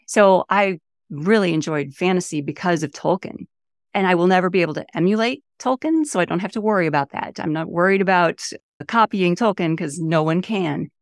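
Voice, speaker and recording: A woman's voice: lowish voice, a little fast, dry recording, studio quality. A woman in her fifties from the St. Louis area, an author chatting enthusiastically as a podcast guest. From St. Louis area, USA. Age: 50s. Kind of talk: casual interview talk.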